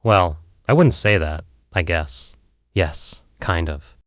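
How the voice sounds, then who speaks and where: A man's voice: low-pitched, slow pace, dry recording, clean studio sound. A man playing a candid, self-doubting filmmaker in therapy in an audio play, hesitant, rambling and wry. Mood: hesitant, defensive. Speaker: therapy client. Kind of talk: conversational audio play acting.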